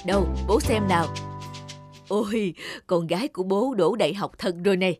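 A woman's voice: medium pitch, moderate pace, a little mellow, faint music behind, close to an everyday voice. A woman voicing a proud, affectionate middle-aged father in a cartoon story. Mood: proud, fond. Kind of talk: cartoon moral-story voice acting.

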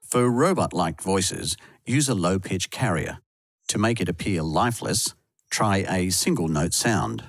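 Deep husky male tutorial narrator. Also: very animated, unhurried, no echo, clean studio sound. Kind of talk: scripted instructional narration.

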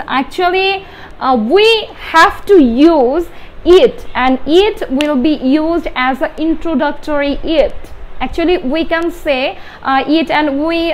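A young adult Bengali-speaking woman with a Bangladeshi accent, explaining grammar step by step as a teacher, halting and repeating key words with emphasis. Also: high-pitched. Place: small indoor room with whiteboard. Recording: steady background room noise.

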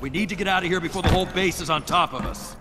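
A man's voice: sits high, rapid-fire, close to an everyday voice. Man playing a hard, blunt squad commander. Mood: urgent, commanding. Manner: blunt, authoritative. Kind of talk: game combat dialogue. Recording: loud game music and effects.

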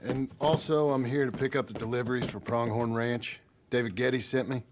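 An actor playing a gruff, weary, evasive American frontier father in a video game, talking curtly in folksy phrases. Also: medium pitch, fairly even intonation, a little fast, natural voice. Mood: hesitant, guarded. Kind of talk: naturalistic video game acting. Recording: game dialogue over wagon and outdoor noise.

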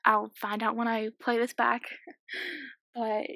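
A sixteen-year-old girl with a slightly croaky voice, chatting and giggling casually while commentating a game she is playing at home. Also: a little high, completely flat delivery, slow pace, bright, forceful tone, no room sound, studio quality. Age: 16, teenager. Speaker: gaming YouTuber. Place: small home room.

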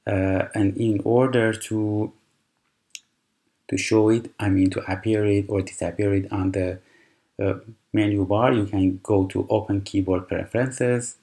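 Slow, low-voiced man explaining software. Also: flat delivery. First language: Persian. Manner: unhurried, explanatory, with frequent fillers and restarts. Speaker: tutorial presenter. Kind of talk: screen-recorded software tutorial.

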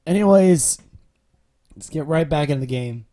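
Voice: medium pitch, lively intonation, unhurried, dark tone. A streamer losing their voice, giving rambling, apologetic casual commentary with many fillers while playing. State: losing voice, hoarse. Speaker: game streamer. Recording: commentary over clear game music.

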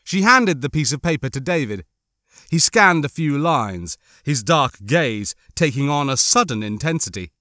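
A man's voice: fairly high pitch, very animated, moderate pace, pushed and bright, no echo, studio quality. A man narrating a horror novel as an audiobook, reading a dramatic scripted story.

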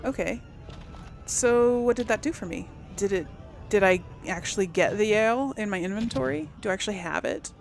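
A woman's voice: mid-range voice, a little fast, gravelly, a little hiss or hum. Chatty woman musing over a game. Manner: musing, chatty, thinking aloud. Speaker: game streamer. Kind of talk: casual let's play commentary.

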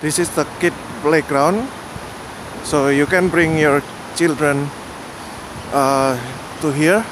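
A man narrating a walking tour outdoors, pointing out landmarks slowly and plainly, with pauses and fillers. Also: a little high, fairly even intonation. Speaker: vlogger giving a walking tour. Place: outdoors on rainy city streets. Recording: outdoor city noise behind the voice.

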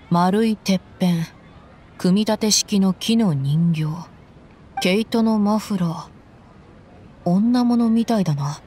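A woman's voice: lowish voice, dark tone, a little hiss or hum. A woman playing a tough, blunt young female mercenary who talks brusquely and casually in a Japanese anime game.

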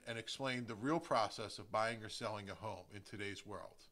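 A middle-aged American man from New Jersey hosting a podcast, giving a prepared solo introduction with emphatic, deliberate phrasing. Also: medium pitch, sharp tone. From New Jersey, United States. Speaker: podcast host, real estate agent.

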